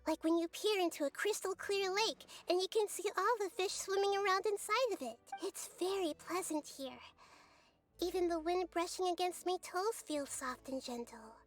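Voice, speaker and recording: An actress playing a cheerful, gentle young girl in a fantasy game, speaking in a sweet, poetic, earnest way. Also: very high-pitched. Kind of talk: scripted game character dialogue.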